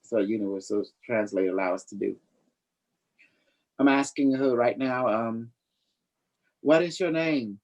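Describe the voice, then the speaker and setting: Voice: mid-range voice, measured pace, long pauses, a little mellow. A psychic reader hosting a casual livestream, boastful and playful, rambling in non-standard English grammar. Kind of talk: casual improvised psychic reading chat.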